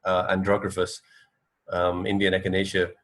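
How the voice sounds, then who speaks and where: Man, low voice, casual radio discussion, tentative and halting. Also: monotone, fairly bright tone.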